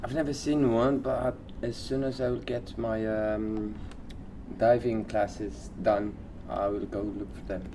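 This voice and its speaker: A man's voice: lowish voice, little pitch movement, a little slow, warm tone. A man co-hosting a comedy podcast, chatting casually in short, agreeable interjections. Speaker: podcast co-host. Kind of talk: casual comic podcast chat. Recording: clear background noise.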